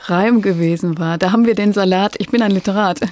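A woman hosting a literary interview, speaking in a measured, articulate and thoughtful way. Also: medium pitch, a little mellow. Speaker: interviewer, host.

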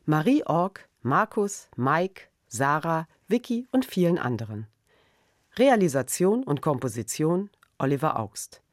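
A woman's voice: deep voice, darkish tone, no echo, studio quality. A woman with a standard German accent reading a scripted announcement as a radio presenter.

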